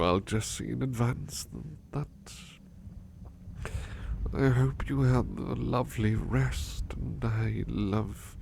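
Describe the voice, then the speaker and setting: A man playing a devoted, polite butler in an ASMR roleplay, speaking softly and hesitantly with courteous formality, often slipping toward a whisper. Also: on the low side, vocal fry, a little hiss or hum, full character voice. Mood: tender, sleepy. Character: devoted, affectionate, polite male butler comforting his companion. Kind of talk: ASMR roleplay, intimate personal attention.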